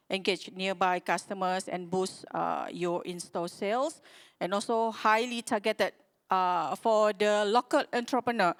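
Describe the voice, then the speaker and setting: Malaysian lady doing a conference talk, smooth clean voice, stop-start phrasing. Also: mid-range voice. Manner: halting, hesitant phrasing. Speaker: conference speaker from a radio broadcaster. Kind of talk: conference presentation.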